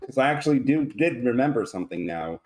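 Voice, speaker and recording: Guy, normal mid pitch, casually asking questions in a group chat. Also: animated, quick pace. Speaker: table-read participant.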